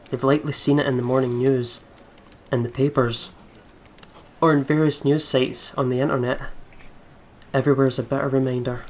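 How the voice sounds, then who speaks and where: A man's voice: medium pitch, a little hiss or hum. A man with a Scottish accent, commentating casually on a game and reading its text aloud. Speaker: let's play commentator.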